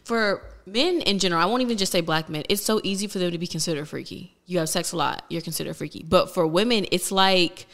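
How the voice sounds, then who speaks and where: A woman's voice: fairly low pitch, fast, few pauses. A woman co-hosting a podcast in frank, casual chat, laughing often. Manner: frank, laughs a lot, animated. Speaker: podcast co-host.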